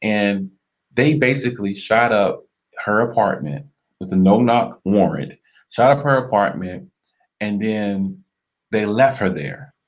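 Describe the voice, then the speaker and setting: Deep-voiced man, earnest and reflective. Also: muffled recording. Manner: measured, reflective, frequent affirming murmurs. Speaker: co-host of a marriage talk show. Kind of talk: earnest conversational discussion.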